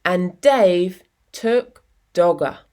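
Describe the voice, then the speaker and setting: Very slow-paced woman reading a children's story. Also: fairly low pitch. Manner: expressive, deliberate storytelling with dramatic pauses. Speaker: storytime reader. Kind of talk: children's picture book read-aloud.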